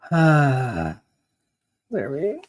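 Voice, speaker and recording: A Canadian man hosting a casual livestream, chatting to viewers in a rambling, halting way as he thinks aloud, with a gravelly, husky voice. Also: on the high side, huge pitch swings. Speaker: livestream host, genealogist.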